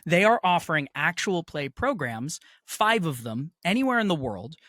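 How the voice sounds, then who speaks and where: A man's voice: on the high side, sing-song, moderate pace, pushed and bright, dry recording, studio quality. A man speaking as an interview guest, explaining at length in an informal, articulate and emphatic way. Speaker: interview guest, festival director and podcaster.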